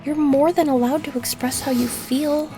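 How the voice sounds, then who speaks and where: A woman playing a gentle, nurturing friend in an audio roleplay, speaking tenderly and reassuringly. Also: high-pitched, fairly even intonation, normal speed, over music, natural voice. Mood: gently reassuring. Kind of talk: comforting audio roleplay acting.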